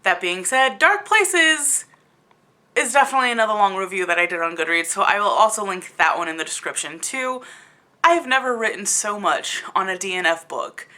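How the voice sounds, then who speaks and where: A woman with a bright, crisp-edged voice at an average pitch talks casually to her channel's viewers as a reviewer. She is opinionated and blunt, sounding exasperated as she stretches and stresses words to make her point. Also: lively intonation. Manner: exasperated, blunt, conversational with emphatic drawn-out words. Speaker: book reviewer hosting a video channel.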